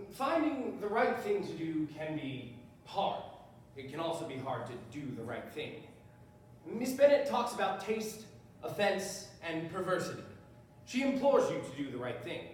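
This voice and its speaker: A man's voice: on the high side, big pitch swings. A man playing an earnest defense attorney in a stage play, making an oratorical, persuasive speech. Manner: oratorical, deliberate emphasis and repetition. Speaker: stage actor. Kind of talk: readers theater courtroom speech. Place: large echoey room, live stage performance. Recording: far off, very echoey hall, faint noise.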